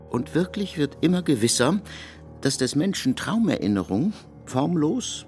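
A man delivering scripted literary narration for radio, telling it expressively and with emphasis. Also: medium pitch.

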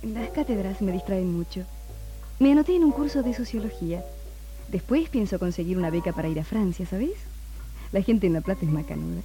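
An actress voices a determined young Argentine woman who keeps her feelings guarded. It is 1960s radio acting at a medium pitch, with clear music behind. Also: fairly quick, dark tone, natural voice, rough recording.

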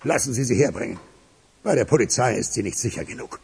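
A man's voice: mid-range voice, lively intonation, fast talker, creaky, heavy background noise. An actor playing an impatient, domineering boss in a German radio crime drama, commanding and sardonic with his subordinates. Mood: stern, sardonic.

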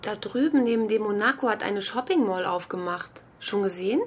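An actress playing a flighty, self-absorbed young mother in a German radio drama, with a casual manner and breathy, husky voice. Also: medium pitch, animated, a little fast, a little hiss or hum, natural voice.

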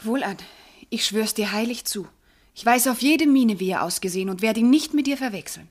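An actress playing a devout, loving and troubled noble wife in a classical verse radio play, theatrical and fervent, often falling to a hush. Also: female voice, fairly high pitch, brisk, natural voice. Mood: earnest, devoted. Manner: fervent, theatrical, dropping into breathy hushes. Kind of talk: classical German stage verse radio drama.

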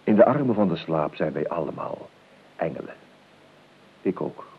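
An actor playing a grumbling, hungover, self-pitying gentleman in a Dutch radio play, halting and fussy, with lyrical flights. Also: low-pitched, animated, creaky. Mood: lyrical, peevish. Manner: halting, fussy, theatrically weary. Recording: narrow, hissy old radio recording.

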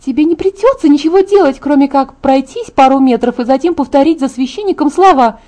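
A woman acts out an excitable young vampire girl from a storybook, pitched high. She is pushy and sisterly, gushing and pleading insistently until she gets her way. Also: a little fast. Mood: gleeful, triumphant.

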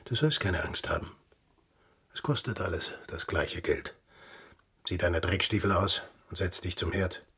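An actor playing a gruff, plain-spoken sailor in a radio drama, rough-voiced, terse and fatalistic. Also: male voice, low-pitched, measured pace, fairly bright tone, full character voice. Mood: resigned, reassuring. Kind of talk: German-language radio play acting.

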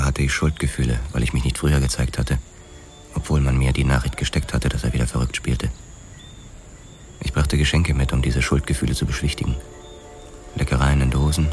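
An actor playing a weary, hard-boiled policeman who narrates his own past in a crime radio drama. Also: rumbling low. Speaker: first-person narrator. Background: music.

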